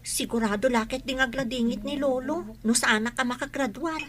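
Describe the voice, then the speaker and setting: A woman's voice: high-pitched, normal speed, fairly bright tone. A woman playing a pushy teenage student in a radio drama, insistent and whining in her complaints. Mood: worried.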